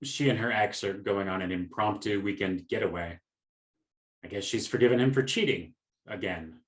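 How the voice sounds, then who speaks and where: A man's voice: lowish voice, normal speed, close to an everyday voice. A man acting in a radio play as a polite, hesitant, heartbroken customer. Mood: dejected. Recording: roomy echo on the voice.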